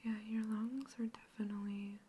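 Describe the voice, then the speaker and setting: A woman whispering gently through an ASMR roleplay, asking calm questions as an examiner. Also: fairly high pitch, normal speed, a little hiss or hum. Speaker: ASMR artist playing an examiner.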